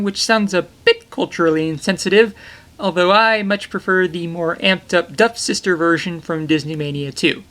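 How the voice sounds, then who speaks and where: A young man reviews an old animated film with jokey, enthusiastic asides, his pitch bouncing up and down as he talks. He sits in a small poster-covered bedroom, talking toward a webcam. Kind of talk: comedic film review narration.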